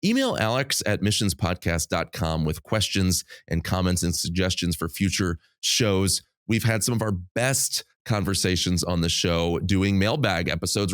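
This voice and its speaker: A male podcast host, getting over a cold that drops his voice lower than usual, giving an upbeat scripted welcome and interview intro. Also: no room sound, clean studio sound. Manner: upbeat, promotional, warmly welcoming.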